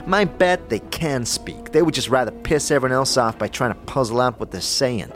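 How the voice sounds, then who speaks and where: An actor playing a sarcastic, wisecracking floating skull in an audio drama, irritable and mocking. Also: male voice, medium pitch, normal speed, close to an everyday voice. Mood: exasperated grumbling. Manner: irritable, mocking, animated exclamations. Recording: music behind the voice.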